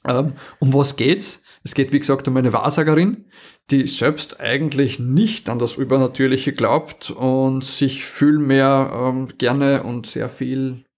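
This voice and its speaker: A man's voice: medium pitch, a little slow. A man, co-hosting a podcast in casual chat, laughing often as he recounts a story. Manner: lively, laughs often, restarts sentences. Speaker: podcast co-host. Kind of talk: casual chat about audio dramas.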